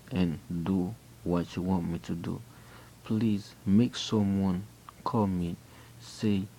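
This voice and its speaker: A man with a Haitian accent speaks low and slow, his voice gravelly with fry. He tells his faith story earnestly and haltingly. A faint hiss sits under the recording. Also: mellow, rough recording. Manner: earnest, halting, with frequent restarts. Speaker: person giving a religious testimony. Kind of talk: personal faith testimony.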